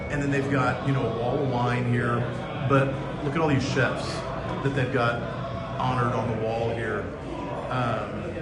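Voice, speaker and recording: A man with a loud voice reviewing burgers in a casual food vlog, rambling with lots of fillers. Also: medium pitch. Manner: chatty, rambling, with many fillers. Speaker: food vlogger. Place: small private room in a restaurant. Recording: echoey room with loud music. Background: music from a nearby speaker.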